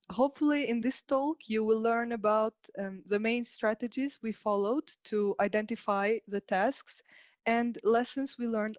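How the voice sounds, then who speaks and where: A woman from Albania giving a prepared technical presentation as a software engineer. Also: mid-range voice, dry recording, muffled recording.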